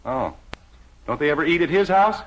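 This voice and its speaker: A man's voice: on the high side, animated, moderate pace, a bit bright. An actor playing a dry, grumbling middle-aged American father in an old radio sitcom. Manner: dry, sarcastic. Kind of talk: 1950s radio sitcom acting. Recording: muffled, very noisy vintage radio recording.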